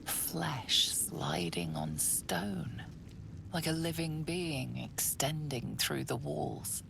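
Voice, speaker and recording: A woman narrating a game in a hushed, measured whisper. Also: low voice, animated, vocal fry, background noise, heavily acted. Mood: ominous.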